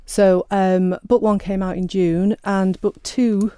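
Warm-voiced woman, chatty author. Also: mid-range voice, level tone, slow pace, clear, resonant tone, dry recording. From Sheffield, England. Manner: chatty, hesitant with many ums and restarts. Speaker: author guest. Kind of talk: radio interview.

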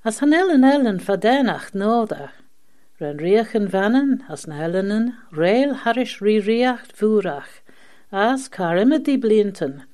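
A woman's voice: medium pitch, a little mellow. A woman presenting a scripted radio magazine programme.

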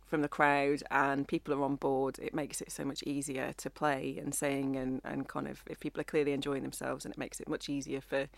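A young woman with a gravelly, low voice chats modestly about her music. She restarts her sentences often. Her voice is recorded dry and close in a studio. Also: flat delivery, fairly quick, hardly any pauses, no room sound. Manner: modest, rambling, with frequent restarts and laughs. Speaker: singer and musician guest. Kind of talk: casual interview chat.

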